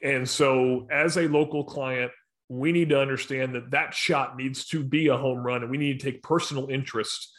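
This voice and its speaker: A man with an American accent explaining things in a measured, emphatic, instructive way, as in a professional discussion. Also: medium pitch, fairly even intonation, a bit bright. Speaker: sports sponsorship professional leading a discussion.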